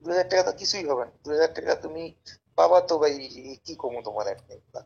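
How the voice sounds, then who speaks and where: A Bangladeshi man making an informal phone sales pitch in a pushy, persuasive, repetitive way. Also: quick pace, creaky, a little mellow, husky, muffled recording. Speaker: caller pitching a service as a tout.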